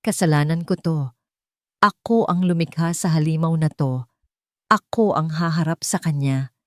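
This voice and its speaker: A woman's voice: low voice, moderate pace, dry recording, studio quality, close to an everyday voice. A woman narrator voicing an angry, guilt-ridden business tycoon man in an audio drama, with a commanding, urgent manner. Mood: angry, guilty.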